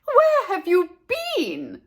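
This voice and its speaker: A woman's voice: extremely high pitch, wildly swooping pitch, very slow, pitched above the performer's own voice, heavily acted. A woman voicing a fretful, frazzled storybook mother who calls out anxiously. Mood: exasperated. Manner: calling out anxiously. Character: fretful, frazzled mother searching for her daughter.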